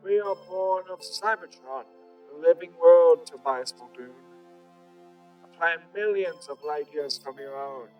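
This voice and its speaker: A man's voice: fairly high pitch, unhurried, lightly acted, rough recording. A man playing a stately alien robot envoy, formal and declamatory, in a comic dub. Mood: solemn, formal. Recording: voice under background music.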